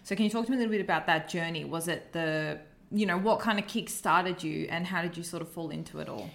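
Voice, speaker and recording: Woman host, lowish voice, quick easygoing questions. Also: creaky, a bit bright. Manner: quick, encouraging, laughs along. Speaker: podcast host. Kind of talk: casual interview chat.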